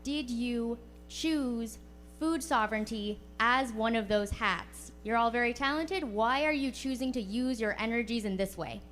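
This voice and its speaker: A woman's voice: a little high, measured pace, a bit bright, a little hiss or hum. A woman moderating a panel discussion, playful and upbeat, joking with the audience as she sets up questions.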